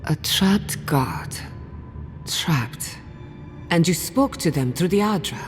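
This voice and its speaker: An inquisitive scholar in a fantasy game. Her voice is low, measured and expressive, with a wry edge. It rings in a reverberant space with faint music behind. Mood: pondering. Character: woman scholar of spirit science, inquisitive, wry. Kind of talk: video game voice acting. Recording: reverberant hall, music underneath.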